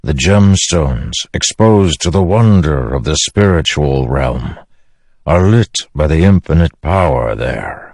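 A man's voice: rumbling low, expressive, creaky, darkish tone, no echo, studio quality, heavily acted. A man voicing an ancient, grave storm spirit in an audiobook, speaking in weighty, formal phrases. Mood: solemn, grave. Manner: slow, weighty, formal speech.

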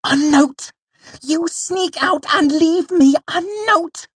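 A man's voice: on the high side, lively intonation, normal speed, darkish tone, dry recording, pitched above the performer's own voice. A man voicing an indignant, theatrical young male fae creature, fussy and haughty, for a storybook. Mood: furious.

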